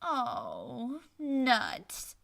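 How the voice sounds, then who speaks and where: A high, bright and piercing cartoon pony magician, swinging theatrically up and down. She is boastful and petulant, whining like a sore winner, with music behind her. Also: huge pitch swings, drawn-out words, gravelly, exaggerated voice. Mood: whiny. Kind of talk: fan audio drama, cartoon-style acting.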